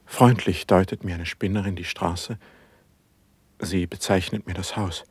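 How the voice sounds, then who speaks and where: An actor playing a brooding, suspicious and passionate poet in a classical verse radio drama, with declamatory, measured diction and a husky voice. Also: male voice, low-pitched, steady tone, dry recording, full character voice. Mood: brooding, dreamy.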